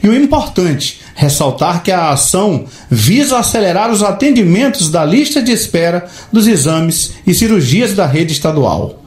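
Animated Brazilian male news reporter. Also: high-pitched, moderate pace, roomy sound. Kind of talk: scripted news narration.

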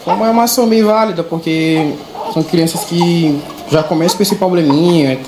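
Brazilian woman over loud background noise. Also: fairly low pitch, normal speed, echoey room. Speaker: interviewee. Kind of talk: spontaneous street-style interview.

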